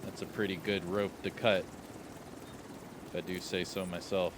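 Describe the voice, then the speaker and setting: A man giving casual, joking gameplay commentary with a slightly raspy voice, relaxed but breaking into excited outbursts. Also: low voice, normal speed, noisy background.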